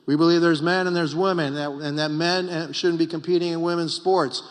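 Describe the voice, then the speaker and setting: A man's voice: fairly high pitch, flat delivery, a little fast. Cuban-born man speaking emphatically in a hall. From Cuba. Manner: emphatic, repetitive, rallying. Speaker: congressman, former mayor. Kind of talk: panel remarks at a political retreat.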